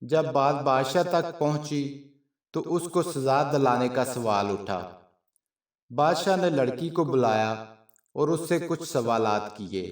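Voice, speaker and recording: A man narrating a scripted story in a measured, formal storytelling manner. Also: mid-range voice. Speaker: channel narrator. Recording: roomy echo, distant from mic.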